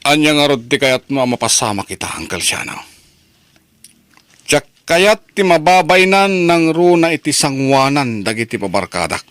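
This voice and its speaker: A man's voice: on the high side, big pitch swings, normal speed, fairly bright tone, no room sound. A man playing a defiant, hot-tempered nephew in a family radio drama, confrontational and menacing. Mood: sullen. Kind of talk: Ilocano radio drama acting.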